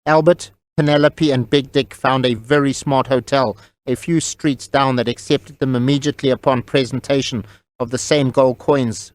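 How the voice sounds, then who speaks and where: A man's voice: mid-range voice, no echo. A man reading scripted story narration as an audiobook narrator, close on the mic.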